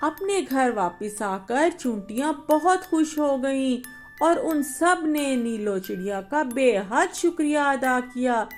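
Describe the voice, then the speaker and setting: A woman narrating a bedtime story for children in a simple style, addressing her young listeners directly. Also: high-pitched.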